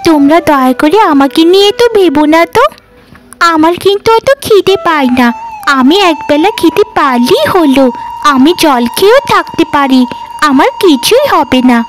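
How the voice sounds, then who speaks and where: A woman's voice: high voice, lively intonation, moderate pace, over music, low-quality recording. A woman voicing an earnest, selfless little village girl in cartoon dialogue. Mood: reassuring.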